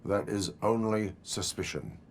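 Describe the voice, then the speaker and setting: An actor playing a stoic cyborg police officer in a video game, speaking in a deadpan, formal, clipped way. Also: male voice, fairly low pitch, a little slow, slight background noise, close to an everyday voice. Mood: stern, emotionless. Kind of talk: video game cutscene acting.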